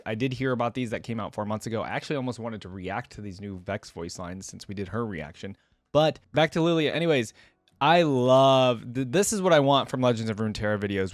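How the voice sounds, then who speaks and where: A man's voice: medium pitch, dry recording. A man hosting a reaction video, chatting informally as he gives commentary. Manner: informal, conversational. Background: faint music.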